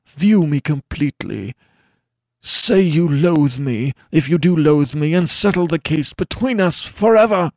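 A man's voice: on the high side, animated, unhurried, darkish tone, voice pushed up. A man voicing a disfigured, anguished young husband who speaks in a mournful, pleading way.

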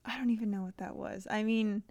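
A woman co-hosting a casual fan podcast, relaxed and a little hesitant, giggling now and then. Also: mid-range voice, brisk, no echo. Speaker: podcast co-host.

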